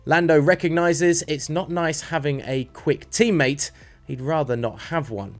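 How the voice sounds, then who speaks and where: Man reading scripted sports news, slightly high-pitched. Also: measured pace, soft background music. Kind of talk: scripted motorsport news narration.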